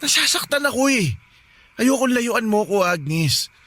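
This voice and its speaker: A man's voice: very high voice, big pitch swings, a little slow, fairly bright tone, no echo, full character voice. An actor playing a persistent lovestruck man, insistent and stammering with emotion in a Filipino radio romance drama. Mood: hurt, pleading. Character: persistent, pleading lovestruck man courting his sister-in-law.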